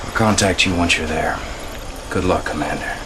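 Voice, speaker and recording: Clear background noise surrounds a brash male soldier played by an actor in a war game cutscene. His voice is on the low side, slangy, punchy and conspiratorial. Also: normal speed, close to an everyday voice. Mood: urgent. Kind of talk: dramatic video game cutscene acting.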